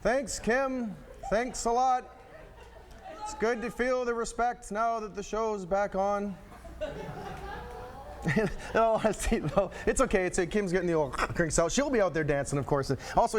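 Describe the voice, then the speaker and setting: A man's voice: fairly high pitch, slight background noise. A man presenting an upbeat local TV magazine show in a chatty, jokey way. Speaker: TV show host.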